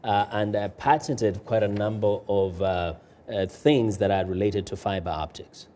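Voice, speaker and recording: Male TV interview host, formal and courteous, slightly low pitch, soft mellow tone. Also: steady tone, unhurried. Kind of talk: broadcast interview.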